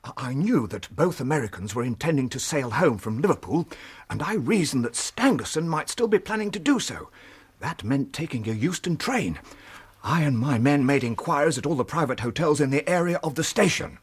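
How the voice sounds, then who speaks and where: A man playing an earnest, middle-aged British police inspector in a radio drama, giving grave, halting reports in a husky voice. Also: fairly high pitch, animated. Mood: sombre recounting. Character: earnest, shaken veteran male police inspector.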